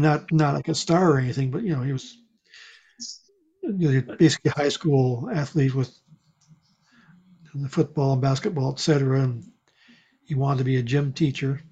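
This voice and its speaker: A man chatting casually and telling personal stories in a wry, unhurried way. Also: mid-range voice, mellow, husky. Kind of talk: casual chat, personal storytelling. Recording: dull, muffled lo-fi sound.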